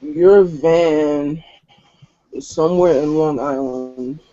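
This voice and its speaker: A man's voice: fairly high pitch, slow pace, mellow. A man improvising narration and banter as the game master of a tabletop roleplaying session. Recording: dull, lo-fi group session recording.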